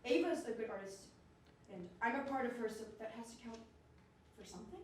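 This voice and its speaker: Woman acting stubborn, high-voiced bossy inner logic, echoey stage. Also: expressive, a little fast, darkish tone, close to an everyday voice. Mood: frustrated. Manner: defensive, insistent. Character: stubborn, bossy logical side of a young artist woman's mind. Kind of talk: amateur one-act stage play acting. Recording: echoey stage, distant mic, noisy.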